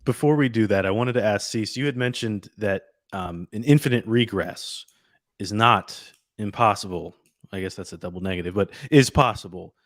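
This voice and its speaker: Low-voiced man asking probing questions. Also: moderate pace, no room sound, studio quality. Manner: probing, asks pointed questions. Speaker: debate participant. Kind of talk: casual philosophical discussion.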